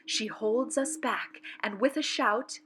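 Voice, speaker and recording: A woman with a fairly high voice performs children's poems aloud. She takes her time, pacing each phrase very slowly and deliberately. The delivery is theatrical and full of suspense, made for young listeners. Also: fairly bright tone, husky. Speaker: children's read-aloud presenter. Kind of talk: expressive children's poetry read-aloud.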